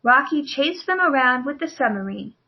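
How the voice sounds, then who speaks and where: A woman's voice: high-pitched, animated, normal speed, muffled recording. A woman narrating a children's storybook as a scripted read-along.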